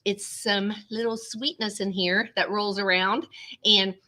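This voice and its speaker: A woman's voice: medium pitch, fairly even intonation, unhurried, sharp tone. A woman with a stuffy, congested nose, chatting casually to viewers on a live stream from her computer at home. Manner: chatty, rambling, says "y'all" often. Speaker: vlogger hosting a live stream. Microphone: small webcam.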